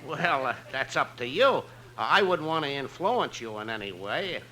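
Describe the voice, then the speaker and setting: A man's voice: medium pitch, sing-song, moderate pace, bright, forceful tone. A man playing a scheming telegram messenger, deferential, hesitant and plaintive, in 1950s radio comedy. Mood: meek, hinting. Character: scheming telegram messenger with a hard-luck sob act. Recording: noisy old 1950s radio broadcast.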